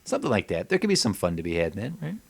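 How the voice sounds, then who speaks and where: A man co-hosting a casual comedic chat, thinking aloud and joking as he improvises pitches. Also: huge pitch swings, very fast, vocal fry, husky. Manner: jokey, thinking aloud, acts out bits. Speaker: podcast co-host.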